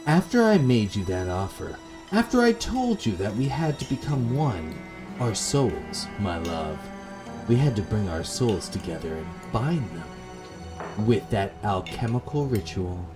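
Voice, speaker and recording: Horror game role: wronged woman lover played by a man, low-pitched, slow, tender yet accusing, music behind. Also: big pitch swings. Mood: wistful, accusing.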